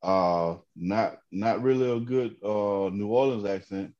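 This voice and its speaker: A man's voice: on the low side, measured pace, darkish tone, dull audio. A man co-hosting a comedy podcast, blunt and teasing in casual banter. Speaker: podcast co-host.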